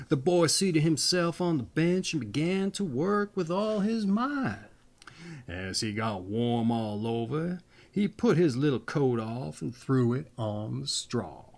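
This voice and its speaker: A man reading a fairy tale aloud as a storyteller, in a theatrical, deliberate way. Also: mid-range voice, vocal fry.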